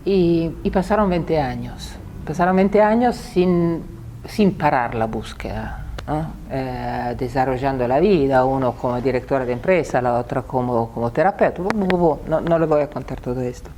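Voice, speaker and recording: A woman's voice: very deep voice, moderate pace, mellow, a little hiss or hum. A woman in her sixties, a native Italian speaker with an Italian accent, giving a public talk and often repeating words as she searches for them. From Italy.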